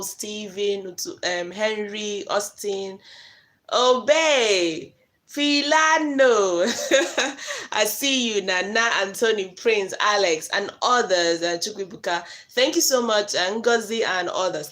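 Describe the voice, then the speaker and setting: A woman's voice: mid-range voice, a bit bright. Frank Nigerian woman streaming advice. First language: Igbo. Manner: frank, chatty, direct advice to viewers. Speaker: host giving relationship advice. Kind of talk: casual live-stream talk.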